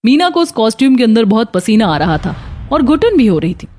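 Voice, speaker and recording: A woman's voice: a little high, big pitch swings, a little fast, mellow. A woman narrating a scripted children's moral story.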